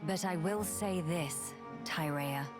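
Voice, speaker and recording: A woman playing an earnest, gentle, regal young noblewoman in a video game drama, with formal courtly phrasing. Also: lowish voice, takes it slowly, over music. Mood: solemn.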